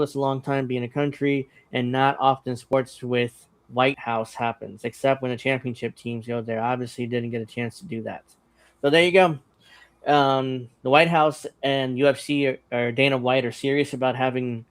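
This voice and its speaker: This is a man in his thirties hosting a casual news panel. He rambles, backs up and restarts his sentences, and he speaks at an ordinary mid pitch. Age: 30s. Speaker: podcast host.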